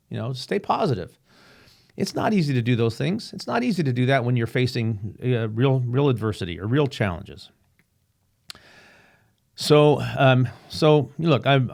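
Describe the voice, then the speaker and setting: A man hosting his own podcast, talking earnestly and reflectively, with frequent restarts and a slightly rough voice. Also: medium pitch. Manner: earnest, halting, with many restarts and fillers. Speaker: podcast host. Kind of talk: reflective solo podcast monologue. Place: home room with a painting behind him.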